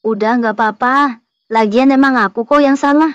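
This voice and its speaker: Casting: actress for young cartoon waitress, submissive and apologetic, higher register, slightly muffled lo-fi recording. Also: female voice, moderate pace, dry recording, close to an everyday voice. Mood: subdued, pleading. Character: meek, apologetic young female hotel waitress. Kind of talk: cartoon dialogue.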